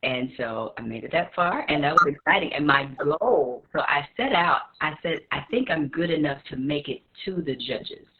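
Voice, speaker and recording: An American woman with a husky voice, telling her story conversationally as an interview guest. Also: sits low, animated, normal speed, hardly any pauses. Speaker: guest actress and singer. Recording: tinny phone-like call audio.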